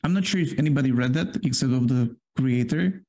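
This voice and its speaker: A man's voice: medium pitch, brisk, darkish tone. A man with a Polish accent, a non-native English speaker, co-hosting a casual work podcast and explaining at length with many fillers. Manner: rambling, filler-heavy explaining. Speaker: podcast co-host. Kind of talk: casual chat.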